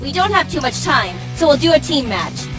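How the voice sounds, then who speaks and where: A woman playing a bossy, short-tempered young female mech pilot and team leader in an anime-style game, brisk and commanding. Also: high-pitched, very animated. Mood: brisk, businesslike. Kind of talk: anime-style visual novel voice acting. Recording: music behind the voice.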